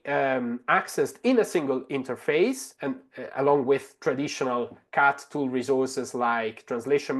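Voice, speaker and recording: A man from Italy, a native Italian speaker with an Italian accent, presents a live software demonstration in a halting, explanatory way. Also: medium pitch. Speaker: webinar presenter, translator.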